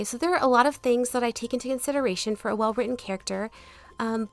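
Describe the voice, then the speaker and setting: A woman chatting casually as she answers viewer questions in a gaming video, polite and easygoing, her voice not fully back after being sick. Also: a little high. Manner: chatty, laughs easily, polite. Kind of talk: casual chat over gameplay. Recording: music behind the voice. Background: background music.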